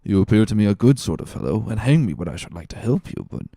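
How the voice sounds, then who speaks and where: A man plays a scheming, scornful plotter in a classic storybook drama. The voice is mellow with a creaky edge. He talks with sly, measured condescension. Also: lowish voice, sing-song, no echo, studio quality, full character voice. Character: cunning, scornful, smooth-talking male schemer.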